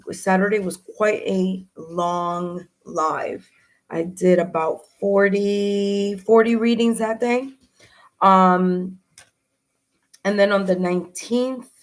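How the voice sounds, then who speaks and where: A woman with a hoarse, raspy voice hosting a live tarot reading stream, chatty and welcoming with frequent fillers. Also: mid-range voice. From New York. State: hoarse, raspy from early morning and much talking. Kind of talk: live stream talk to viewers.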